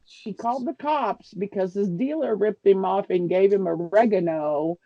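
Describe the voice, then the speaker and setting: A woman's voice: mid-range voice, moderate pace, dry recording, muffled recording. A woman chatting informally and bluntly, telling an anecdote. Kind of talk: casual chat, anecdote.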